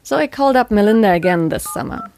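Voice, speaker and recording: A woman reporter narrating a documentary report in a clear, steady, explanatory way. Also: medium pitch, quick pace, a little hiss or hum.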